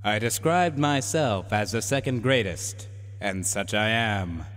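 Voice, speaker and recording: A man's voice: on the low side, a little slow, a bit bright. A man playing a pompous, haughty supercomputer in a science fiction radio drama, declaiming in grandiose archaic phrases. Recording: long reverberant hall echo.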